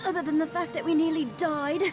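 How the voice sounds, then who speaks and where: A woman's voice: high voice, level tone, a little fast, lightly acted. An actress playing a dry, practical female companion in a fantasy game. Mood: dry, urgent. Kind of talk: dramatic fantasy game voice acting. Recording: music behind the voice.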